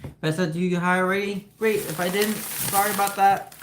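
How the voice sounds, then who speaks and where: A man's voice: high-pitched, fairly even intonation, a little fast. A man from Ukraine live-streaming from his home kitchen on a phone, chatting casually with viewers and explaining each cooking step as he goes. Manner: chatty, greets viewers and explains steps while chopping. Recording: roomy kitchen with background noise.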